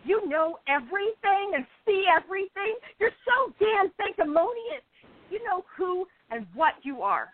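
A woman's voice: very high voice, very animated, normal speed, dry recording. A woman acting the part of a depressed, envious, defensive actress of almost thirty in a radio play. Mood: angry, bitter. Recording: thin phone-like audio.

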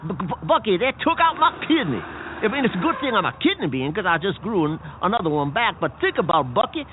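A man's voice: sits high, very animated, fast talker. A man voicing a frantic male bean who runs a garage, stammering and breathless, with folksy, ungrammatical talk. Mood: indignant. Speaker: garage owner. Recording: tinny phone-like sound, faint noise.

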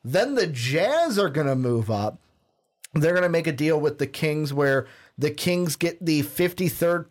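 Male podcast co-host, casual list reader with a slightly higher voice who fills pauses with ums. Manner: plain list reading with filler ums. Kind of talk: casual podcast chat, reading out draft picks.

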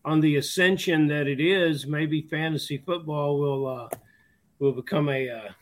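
A folksy male co-host with a slightly high voice, trading easy banter with his guest. His speech has a relaxed, rambling rhythm. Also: unhurried, a little hiss or hum. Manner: folksy, warm-hearted banter. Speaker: podcast co-host, league commissioner. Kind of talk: casual interview hosting.

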